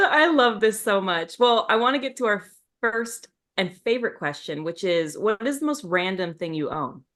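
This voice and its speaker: A woman's voice: medium pitch, animated, measured pace. A woman co-hosting a podcast, chatting in a playful, jokey way and asking her guest questions. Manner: playful, jokey, warm enthusiasm. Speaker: podcast co-host. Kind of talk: casual chat.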